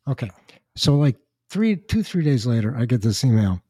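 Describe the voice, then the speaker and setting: An older man with a slightly raspy voice, chatting casually as a podcast host and telling rambling anecdotes with dry asides. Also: mid-range voice, big pitch swings, moderate pace, warm tone, dry recording. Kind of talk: casual chat, storytelling.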